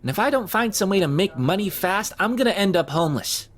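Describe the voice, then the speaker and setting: A man's voice: sits high, animated, a little fast, a bit bright. An actor playing an anxious, down-on-his-luck young man who stammers and hesitates in an audio drama. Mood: dejected.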